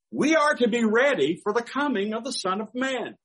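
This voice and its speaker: Older man preaching, lively and unhurried. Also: extremely high pitch. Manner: folksy storytelling, chuckling asides. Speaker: pastor. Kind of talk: sermon with personal anecdotes.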